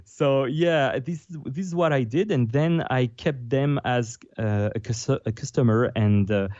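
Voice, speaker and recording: A man with a French accent, French his first language, speaking as a guest on a video call, halting as he translates on the fly. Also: mid-range voice, darkish tone, no room sound, lo-fi sound. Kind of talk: casual interview over video call.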